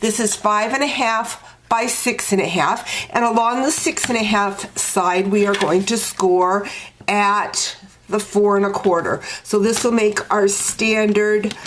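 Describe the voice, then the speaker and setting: A woman's voice: medium pitch, fairly even intonation, a bit bright, a little hiss or hum, rough recording. A woman with a congested, stuffy nose, walking through a craft project step by step with frequent fillers. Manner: slow, step-by-step instructing with fillers. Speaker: card-making demonstrator. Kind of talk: crafting tutorial walkthrough.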